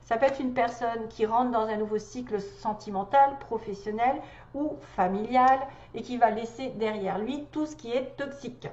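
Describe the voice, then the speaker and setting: Tarot reader, woman, slightly high voice, stuffy with a cold, chatty and hesitant; echoey room, dull recording. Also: normal speed, darkish tone. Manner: chatty, hesitant fillers, laughs often. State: has a cold. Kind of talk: tarot reading guidance.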